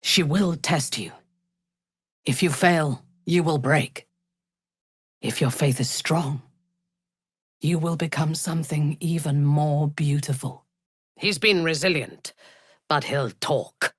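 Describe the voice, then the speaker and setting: An actress voicing a ruthless, imperious female cult commander in a fantasy video game, with a measured, cold and menacing delivery. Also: sits low, husky, no echo, studio quality, exaggerated voice. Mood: ominous.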